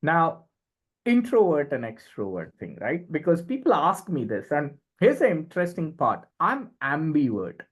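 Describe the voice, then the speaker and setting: A man's voice: fairly high pitch, wildly swooping pitch, moderate pace, breathy edge. Middle-aged Indian-accented man, emphatic explainer. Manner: emphatic, checks in often with short tag questions. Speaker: podcast guest, communication and leadership expert. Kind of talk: conversational explanation with examples.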